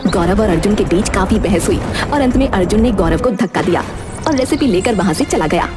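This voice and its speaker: A woman narrating a Hindi cartoon story in an expressive, scripted storytelling style. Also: mid-range voice, brisk. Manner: expressive, animated. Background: background music.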